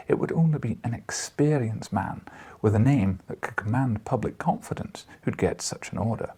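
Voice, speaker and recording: A man acting crabbed old Scottish news editor, husky breathy voice, swooping pitch, wry, avuncular, audiobook character. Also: dark tone. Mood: doubtful, discouraging. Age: older.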